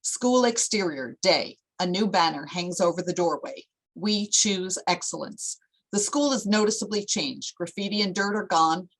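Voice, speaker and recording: A woman's voice: on the low side, normal speed, rough recording. A woman narrating a screenplay at a table read, reading out scene headings and stage directions.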